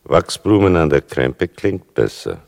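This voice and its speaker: An older man acting in a radio play as a writer son who narrates his mother's story, wry and deliberate, dwelling on small details, with a gravelly voice. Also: low voice, big pitch swings, a little mellow, no room sound, heavily acted. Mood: mildly challenging.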